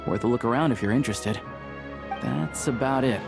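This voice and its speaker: A man's voice: medium pitch, a little fast, gravelly, over music, lightly acted. A man playing an easygoing hunter and town guide in a video game, speaking in a casual, helpful, matter-of-fact way. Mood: casual, apologetic. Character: easygoing young male small-time hunter acting as town guide. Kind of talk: video game character acting.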